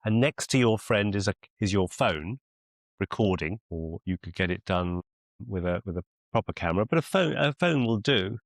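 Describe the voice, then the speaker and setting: Male business mentor, deep voice with gravelly fry, deliberate and encouraging, close dry sound on a coaching call. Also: animated, no room sound. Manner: deliberate, encouraging, repeats phrases for emphasis. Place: video call.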